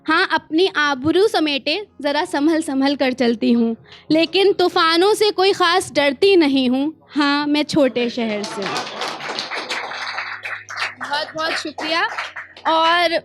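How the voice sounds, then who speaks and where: An Indian woman poet performing her own spoken-word verse live, expressive and deliberate, with asides to her listeners and lines repeated for emphasis. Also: high voice. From small town in India.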